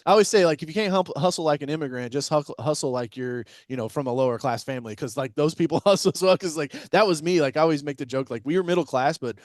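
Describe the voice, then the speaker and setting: A man's voice: a little high, fast, few pauses, no echo, clean studio sound. A man hosting a podcast interview, chatting casually and often repeating words as he starts sentences. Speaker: podcast host.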